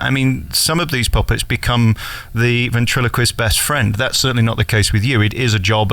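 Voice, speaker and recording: A man's voice: fairly low pitch, a bit bright, dry recording. A British man interviewing in a chatty, direct conversational style.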